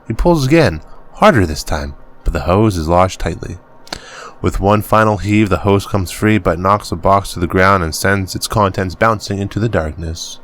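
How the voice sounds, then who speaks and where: Deep-voiced man narrating a game. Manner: halting reading, stumbles over words and laughs it off. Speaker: gaming channel host. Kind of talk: reading game text aloud as narration.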